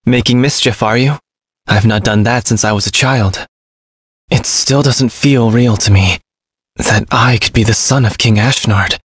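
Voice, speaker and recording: A man voicing a courteous, reserved young warrior in a fantasy game, formal and measured. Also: medium pitch, slightly rough, no echo, studio quality. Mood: reflective.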